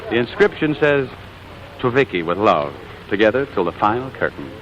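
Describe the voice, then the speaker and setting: An actor playing a proud, sarcastic stage performer who is quick to dodge and snap back, in 1940s radio drama style. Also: male voice, on the low side, big pitch swings, fairly bright tone, slight background noise. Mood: sheepish, soothing. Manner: sarcastic, wisecracking. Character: proud, evasive male stage performer, sour and fast-talking. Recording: dull old radio recording, music behind.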